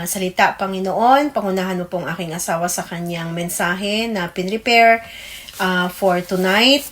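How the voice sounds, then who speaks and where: A Filipino woman co-hosting a religious radio program, giving prayers and greetings politely and pausing as she recalls names. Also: medium pitch.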